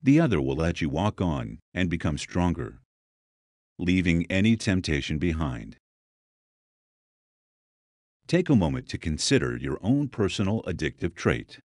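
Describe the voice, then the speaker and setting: A man with a deep voice reads a guided meditation. His delivery is deliberate and soothing, with gaps between phrases, yet the melody rises and falls in a lively way. The sound is dry, with very little room around it. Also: no echo. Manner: slow, deliberate, soothing instruction with pauses. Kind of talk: scripted guided meditation narration.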